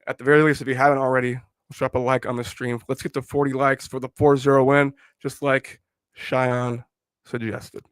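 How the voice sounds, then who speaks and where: A hoarse young man who has lost his voice while sick, rambling opinions on a casual live stream. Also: medium pitch, flat delivery, brisk, no echo. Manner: rambling, opinionated, filler-heavy. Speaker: fan channel host.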